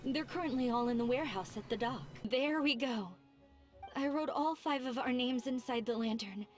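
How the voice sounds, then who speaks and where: A woman's voice: high voice, moderate pace, soft background music, lightly acted. A woman voicing a modest, sincere female lantern artisan in a video game, matter-of-fact in manner.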